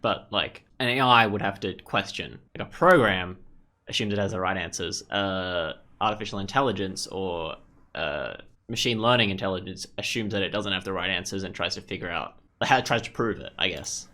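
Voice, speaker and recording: A man's voice: sits low, moderate pace, bright, forceful tone. A young man hosting a gaming video, giving casual walkthrough commentary and reading game dialogue aloud.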